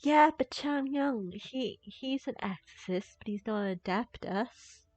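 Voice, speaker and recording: A woman's voice: a little high, a little slow, darkish tone, dry recording, highs cut off. A woman livestreaming a game with casual commentary, musing aloud in a playfully sceptical way on a close mic. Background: faint game music.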